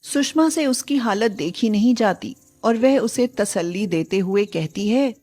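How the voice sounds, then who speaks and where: A woman's voice: medium pitch, expressive, unhurried, a little mellow. A woman narrating a scripted moral story in a dramatic storytelling style.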